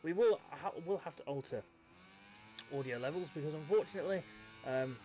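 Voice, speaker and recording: A man doing live commentary on a motorcycle esports race, a little croaky from a chest infection, chatty with self-mocking asides. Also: on the high side, fairly quick, mellow, slight background noise. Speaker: race commentator and event host.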